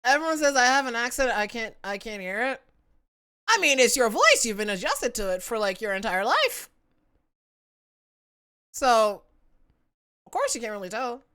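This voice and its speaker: A young woman streams from home with a Southern American accent blended with internet influences. Her high, bright voice swoops up and down as she jokes about herself and giggles. She chats into a desk mic. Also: big pitch swings, normal speed, pauses often, clear, resonant tone. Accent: Southern American mixed with internet influences. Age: young adult. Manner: playful, giggly, self-mocking. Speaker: livestreamer. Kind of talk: casual stream chat.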